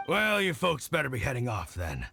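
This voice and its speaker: A man's voice: medium pitch, wildly swooping pitch, normal speed, vocal fry, sharp tone, slight background noise, full character voice. A man voicing a kindly middle-aged hunter dad in a video game, folksy, reflective and supportive. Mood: warm, encouraging.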